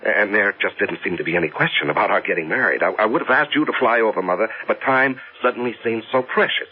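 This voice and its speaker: An actor playing a giddy, lovestruck American businessman and devoted son in a 1970s radio drama, halting and earnest, with an American accent. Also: on the low side, breathy edge, muffled recording. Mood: sheepish, earnest. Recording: band-limited old radio broadcast with music.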